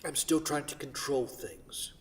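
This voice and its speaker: A man's voice: mid-range voice, unhurried, close to an everyday voice. A man playing a regretful, controlling wealthy father in a staged play reading. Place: theatre hall. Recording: distant mic in echoey hall.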